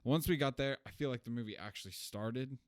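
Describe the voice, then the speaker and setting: A man's voice: mid-range voice, a little fast, no room sound, studio quality. A man co-hosting a film review podcast, talking casually and giving informal, blunt opinions. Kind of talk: casual chat, movie review discussion.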